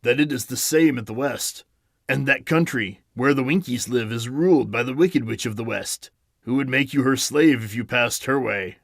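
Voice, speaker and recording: A man voicing a small villager in an audiobook, with a gravelly, ominous tone. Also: mid-range voice, normal speed, no echo, clean studio sound.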